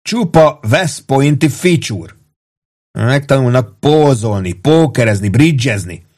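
A man's voice: mid-range voice, voice pushed up, natural voice. A man voicing a vain, impatient and furious army sergeant in an audiobook, ranting in thundering tirades. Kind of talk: dramatized audiobook dialogue.

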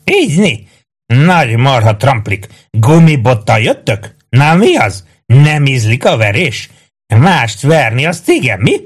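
A man voicing a small, defiant young soldier in an audiobook, shouting coarse taunts. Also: medium pitch, big pitch swings, husky, pitched above the performer's own voice. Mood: frantic shouting.

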